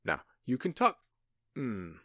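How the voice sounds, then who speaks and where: A man in an ASMR roleplay playing a menacing, gloating outlaw gang boss who taunts with mock politeness and deliberate pauses. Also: mid-range voice, wildly swooping pitch, a bit bright, no room sound, studio quality, exaggerated voice. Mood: mock-polite, taunting.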